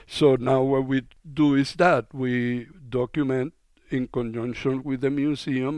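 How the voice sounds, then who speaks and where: Radio guest, man from Cuba, smooth and resonant, relaxed talk, dry close mic. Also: medium pitch, dry recording. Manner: relaxed, conversational, with fillers. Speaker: documentary filmmaker guest. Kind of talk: radio interview.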